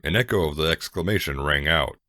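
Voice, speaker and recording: A man's voice: extremely low pitch, fairly quick, bright, forceful tone, dry recording, clean studio sound. A man narrating a scripted story as a dramatic reading.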